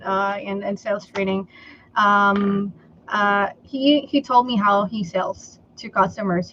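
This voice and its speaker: A woman from the Philippines whose first language is Tagalog, giving sales advice in a livestream interview and often pausing on "okay" and "um". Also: mid-range voice, fairly bright tone, muffled recording. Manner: slow and deliberate, many fillers like okay and um. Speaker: sales trainer guest.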